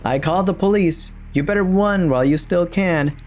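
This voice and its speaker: A woman playing a worried, frightened but defiant wife in a horror story, with an urgent, expressive delivery. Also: lowish voice, moderate pace, slight background noise, natural voice. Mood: alarmed.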